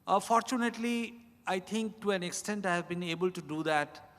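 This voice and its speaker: A man speaking as an author on a panel, answering off the cuff in a reflective, halting way, phrase by phrase. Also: sits high, a bit bright. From India. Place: large indoor event hall at a hotel. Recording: echoey event hall, long reverb.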